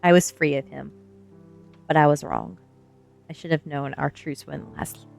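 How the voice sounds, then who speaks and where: A woman's voice: sits low, steady tone, unhurried, creaky, a little mellow, faint music behind. A woman reading a first-person novel aloud as ASMR storytelling.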